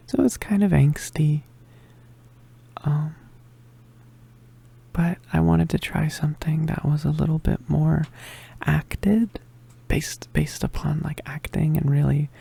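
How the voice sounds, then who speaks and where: An ASMR creator giving a casual, soft-spoken introduction in a hesitant, often whispered and breathy voice. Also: mid-range voice, level tone, slow, long pauses.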